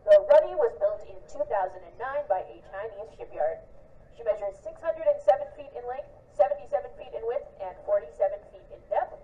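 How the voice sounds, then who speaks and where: Woman doing ship announcements outside, kinda high voice, tinny far-off speaker. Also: lively intonation, fairly quick, long pauses. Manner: friendly, chatty with fillers. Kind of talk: public address announcement. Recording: distant loudspeaker with faint noise.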